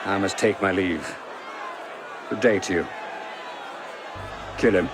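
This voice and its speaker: Low-voiced, coldly calculating mastermind. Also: male voice, a crowd in the background, background noise. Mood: cold, grandiose. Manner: measured, grandiose, philosophizing. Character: cold, calculating male Templar mastermind, smug conspirator. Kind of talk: scripted video game acting.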